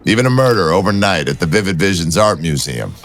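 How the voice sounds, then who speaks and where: Man playing a low-voiced, wry detective. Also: very animated, fast, fairly bright tone, full character voice. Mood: teasing. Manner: wry, bantering. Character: wry male police detective, cool and teasing. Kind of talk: audio drama acting.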